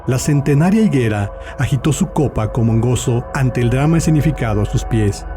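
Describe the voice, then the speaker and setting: A man's voice: mid-range voice, expressive, fairly quick. A man giving a dramatic scripted narration as an audiobook narrator. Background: music.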